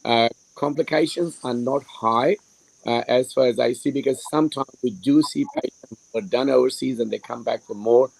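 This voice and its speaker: Hoarse, gravelly-voiced male surgeon. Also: mid-range voice, moderate pace, a little mellow, poor audio. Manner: hesitant, many fillers. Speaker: hair restoration surgeon, panelist. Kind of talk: live stream panel discussion. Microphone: video call.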